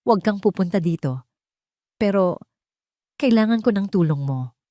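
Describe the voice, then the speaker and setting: A woman's voice: mid-range voice, lively intonation, normal speed, dark tone, no room sound, lightly acted. Female narrator as betrayed wife, urgent pleading, wounded resolve, dry drama recording. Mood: tearful, hushed.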